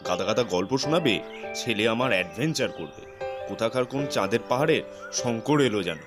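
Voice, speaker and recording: A man acts out a middle-aged father in an audio drama. He scolds with exasperation in an ordinary mid-pitched voice, caring underneath the sternness, with music under him. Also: animated, a little fast, natural voice. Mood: scolding. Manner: scolding, admonishing. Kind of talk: audio story drama acting.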